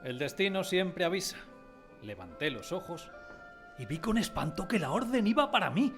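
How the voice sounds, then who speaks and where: A man playing a sensitive grown man who recalls his timid boyhood, in expressive, dramatised first-person storytelling. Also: high-pitched, moderate pace, full character voice. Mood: anxious, tense. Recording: prominent music behind the voice.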